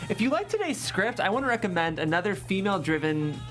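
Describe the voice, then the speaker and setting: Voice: medium pitch, very animated, fast talker, a bit bright, soft background music. A host of a panel show, talking casually in a conversational interview style.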